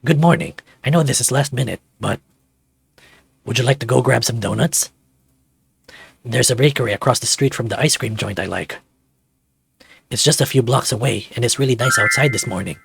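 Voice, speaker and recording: A man's voice: mid-range voice, normal speed, creaky, long pauses, breathy edge, no echo. A man voicing a fatherly adult male dragon in a visual novel, with a polite, considerate manner. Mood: warm, courteous.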